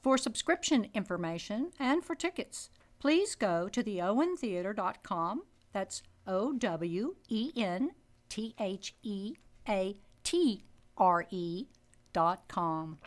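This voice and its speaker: A woman's voice: mid-range voice, very animated, slow pace. Female announcer for scripted promo spots, middle-register voice.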